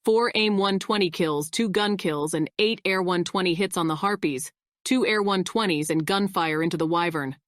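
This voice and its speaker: A woman's voice: fairly low pitch, normal speed, no echo, studio quality, natural voice. A woman playing a no-nonsense military intelligence officer in an audiobook, matter-of-fact and authoritative. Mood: businesslike. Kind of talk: audiobook character acting.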